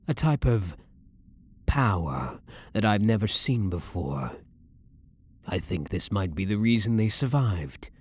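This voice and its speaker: A man playing a blunt, brooding vampire hunter, himself a vampire, in a measured, dominant ASMR roleplay with a breathy voice. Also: low voice, darkish tone, full character voice. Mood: intent, persuasive. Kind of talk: ASMR roleplay, dramatic monologue.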